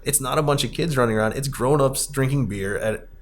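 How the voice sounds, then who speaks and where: A man in his thirties hosts a relaxed talk about films and arcade games. He rambles in a halting way, often doubling back to correct his own sentences. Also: mid-range voice, a little hiss or hum. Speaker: podcast host.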